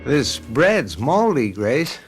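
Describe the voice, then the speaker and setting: An actor playing a middle-aged suburban husband in a radio drama, affable and conversational but easily irked. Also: male voice, medium pitch, big pitch swings, takes it slowly, over music. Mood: easygoing. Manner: conversational, folksy, grumbles when slighted. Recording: noisy radio drama with background sound effects.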